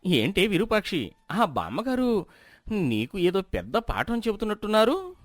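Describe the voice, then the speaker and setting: Fed-up, scheming husband for a storybook tale played by a man, grumbling, lively intonation, dry sound. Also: high voice, normal speed, no echo. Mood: curious. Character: shrewd, fed-up husband plotting a lesson.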